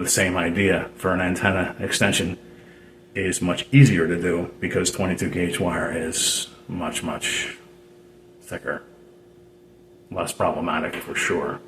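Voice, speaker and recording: Low-voiced man explaining a DIY fix, roomy sound. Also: fairly bright tone. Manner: hesitant, rambling, repeats phrases. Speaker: DIY radio hobbyist presenting a tutorial. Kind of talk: unscripted casual how-to explanation. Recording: roomy echo with background noise.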